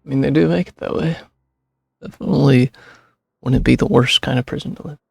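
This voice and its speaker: A man with a gravelly, husky voice giving casual game commentary and reading aloud as a streamer. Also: medium pitch, brisk, no room sound. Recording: dry close mic, game music behind.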